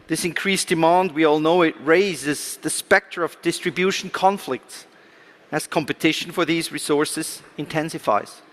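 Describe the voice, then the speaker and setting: A man speaks in a somewhat high register, delivering a formal, prepared address at a conference. He sounds like an official at the podium, measured and rhetorical, putting weight on his key words. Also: a bit bright. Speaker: government official giving a speech. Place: conference event, speaking to an audience.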